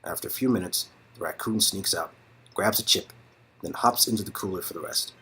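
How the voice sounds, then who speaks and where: Husky man reading a story game. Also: sits low, brisk, sharp tone. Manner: informal, jokey asides. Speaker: co-reader in a two-person game read-through. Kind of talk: narration of a visual novel mixed with casual banter.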